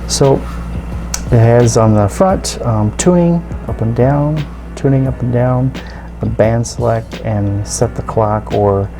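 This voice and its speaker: A man casually demonstrating a product, explaining it step by step in a halting, informal way. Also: mid-range voice, over music. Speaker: radio reviewer and video host.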